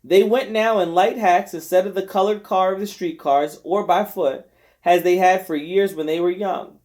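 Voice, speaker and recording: Higher-voiced man reading a novel aloud. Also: fairly even intonation, normal speed, a little mellow. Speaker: volunteer reader of a novel. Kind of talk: scripted audiobook narration.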